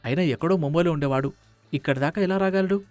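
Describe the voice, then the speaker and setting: A man's voice: fairly high pitch, very fast, a little mellow, smooth, no room sound, soft background music, lightly acted. A man playing a protective, indignant young son in a family drama story.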